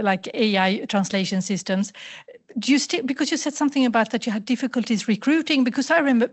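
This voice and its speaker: A woman asking a rambling, hesitant question from the audience, with lots of fillers and restarts. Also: fairly high pitch. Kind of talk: spontaneous question from the floor.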